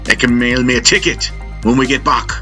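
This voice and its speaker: A man voicing a brash, defiant male starship pilot in a sci-fi audio drama, loud and obnoxious. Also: mid-range voice, steady tone, a little fast, soft background music, voice pushed up, lightly acted. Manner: shouting, obnoxious, gum-chewing.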